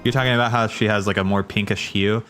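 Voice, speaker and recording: A man streaming casual live commentary, thinking aloud in a halting way and repeating phrases as he reasons. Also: on the low side, fairly even intonation, fairly bright tone. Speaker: streamer hosting a cutscene watch party. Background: game music behind the voice.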